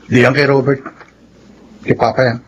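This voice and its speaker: A man's voice: medium pitch, level tone, moderate pace, lightly acted. A young man with a Filipino accent playing a rebellious, stubborn son in a radio drama, defiant and brash. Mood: casual, pleading. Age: young adult. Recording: noisy radio drama track.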